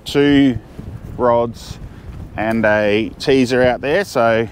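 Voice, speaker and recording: A middle-aged man with an Australian accent talks through his fishing session in a loose, chatty way, often starting a sentence over as he works. He is outdoors on a jetty and recorded on a camera nearby. Faint wind and outdoor noise sit under a voice of ordinary pitch. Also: fairly even intonation, very slow. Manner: chatty, thinks aloud with frequent restarts. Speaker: fishing vlogger. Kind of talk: casual fishing commentary to camera.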